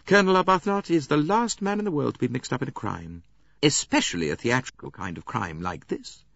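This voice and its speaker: A man reading an audiobook and voicing a composed, cool-headed young English governess with crisp, clipped replies. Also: mid-range voice, lively intonation, brisk, a bit bright, no room sound, highs cut off, pitched above the performer's own voice.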